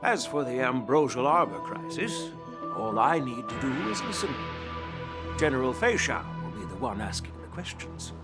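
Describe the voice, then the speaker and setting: An actor playing a dignified, candid old elder in a video game, speaking in a measured, formal and frank way. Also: male voice, mid-range voice, big pitch swings. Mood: candid, composed. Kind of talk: scripted video game dialogue. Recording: game music under the voice.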